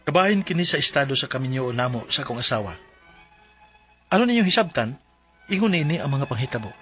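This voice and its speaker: A man's voice: mid-range voice, sing-song, quick pace, pushed and bright, faint music behind. An actor playing an earnest, dutiful man in his thirties with a Cebuano accent in a radio drama. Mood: earnest narration. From Philippines. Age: 30s. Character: earnest, dutiful young Cebuano man, troubled letter-writer. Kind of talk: Philippine radio drama acting with letter narration.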